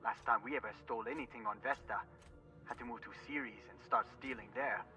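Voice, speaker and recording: An actor playing a rough, loyal space crewman in a video game, with clipped, slangy phrasing. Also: medium pitch, fairly even intonation, brisk, bright, forceful tone, distant mic, soft background music, low-quality recording. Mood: wry recollection.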